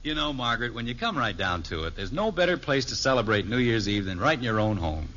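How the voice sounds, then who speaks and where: A man's voice: medium pitch, big pitch swings, fast talker, sharp tone. An actor playing a genial, folksy middle-aged American husband and father in a 1950s radio sitcom. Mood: sheepish. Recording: muffled vintage radio recording.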